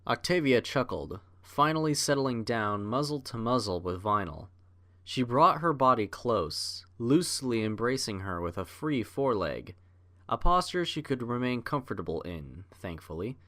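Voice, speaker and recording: A man narrating a scripted story as an audiobook reader, in measured literary storytelling. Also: medium pitch, sing-song, pushed and bright.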